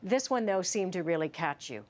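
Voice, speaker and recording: A woman news host narrating a report and then questioning a guest in a measured, clear delivery. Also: lowish voice, animated, darkish tone.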